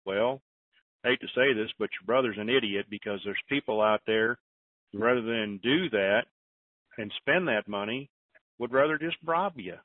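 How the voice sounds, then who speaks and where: Blunt, low-voiced man on a phone line. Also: normal speed, no room sound. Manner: blunt, matter-of-fact. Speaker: call host answering questions. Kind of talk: casual conference-call talk. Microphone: phone conference call. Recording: narrow tinny phone line.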